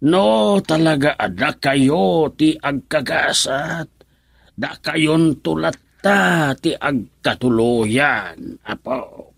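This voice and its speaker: A man's voice: on the high side, very slow, vocal fry, full character voice. A man acting a patient old grandfather giving counsel in a radio drama. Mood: patient, advising. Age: older.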